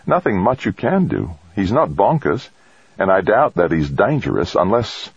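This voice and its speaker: A man's voice: fairly low pitch, wildly swooping pitch, normal speed, gravelly, husky, dry recording, lo-fi sound, voice pushed up, full character voice. A man reading an audiobook, voicing a friendly, thoughtful woman colleague with a breezy, colloquial manner. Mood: pensive. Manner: breezy and colloquial, calling people mate. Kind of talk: audiobook character dialogue.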